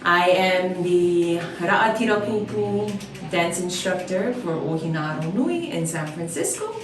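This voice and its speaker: Friendly woman guest from Hawaii; somewhat low voice, recorded at a distance in an echoey room. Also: lively intonation, measured pace. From Oahu, Hawaii. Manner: friendly, easygoing, with frequent fillers. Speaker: dance instructor, guest. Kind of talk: casual interview answers.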